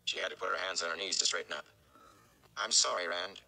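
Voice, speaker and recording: A man's voice: low-pitched, fairly quick, gravelly, sharp tone, exaggerated voice. A man voicing an exhausted, compassionate village wisewoman in a fantasy audiobook, firm and plain-spoken. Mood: regretful.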